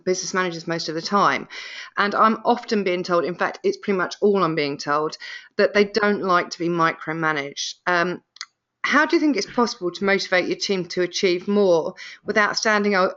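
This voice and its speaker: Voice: medium pitch, a bit bright, highs cut off. An interviewer asks questions and offers frequent short agreements while the guest talks.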